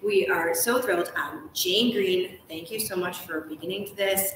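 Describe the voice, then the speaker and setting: A woman's voice: lowish voice, moderate pace, a bit bright. A woman in her thirties hosting a live event in an enthusiastic, gushing way, speaking in a room some distance from the microphone. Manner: effusive, gushing. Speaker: live show host. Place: large hotel lounge, indoors. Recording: echoey room, faint noise.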